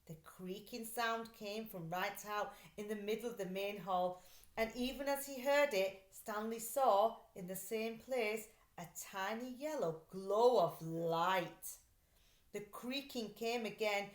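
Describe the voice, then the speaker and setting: A woman reading a story aloud for children in an expressive, deliberate, dramatic way. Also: mid-range voice. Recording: roomy echo.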